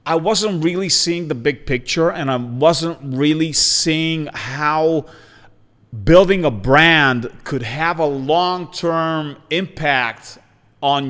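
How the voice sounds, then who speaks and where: Smooth-voiced man in his forties, echoey. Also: on the high side. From Bulgaria. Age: 40s. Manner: energetic, talkative, with frequent fillers and restarts. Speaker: podcast host. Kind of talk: casual self-introduction monologue. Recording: long hall reverb.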